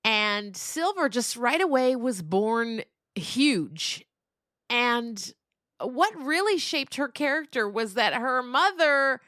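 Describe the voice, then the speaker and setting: A middle-aged woman talking casually and reflectively as a podcast interview guest, telling stories in an animated way. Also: on the high side, no room sound, clean studio sound.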